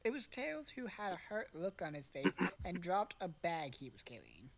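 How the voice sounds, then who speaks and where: A woman reads fan fiction aloud as a casual narrator. Her voice sits a bit low but swings around a lot, and she slips into sweary, exasperated asides. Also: very animated, moderate pace, noisy background. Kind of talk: casual amateur fan fiction reading with friends.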